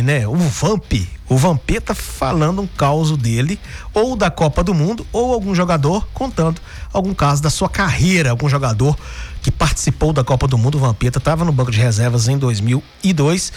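A man's voice: fairly high pitch, expressive, normal speed, breathy edge. A man from Brazil hosting a sports radio show, talking through football news in a chatty way, often tagging his sentences with questions. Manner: chatty, frequent "né?" tags and restarts.

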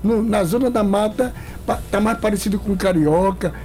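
A man with a blended northeastern Brazilian accent chats as a guest, relaxed and enthused. His voice is quite high yet mellow. Faint music plays underneath. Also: normal speed. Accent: mixed northeastern Brazilian. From Bahia, Brazil. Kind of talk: interview chat.

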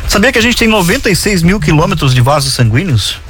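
A man's voice: high-pitched, very animated, fast, fairly bright tone, soft background music. A middle-aged man hosting a casual chat show, teasing his guests and reading out odd trivia. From southern Brazil. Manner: jokey, teasing, reads trivia aloud. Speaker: host.

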